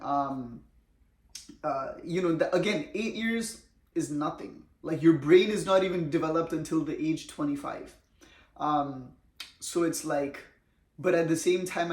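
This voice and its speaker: A young man from India, chatting casually in a storytime vlog and saying bro and man a lot. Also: a little high. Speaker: vlogger. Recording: echoey room.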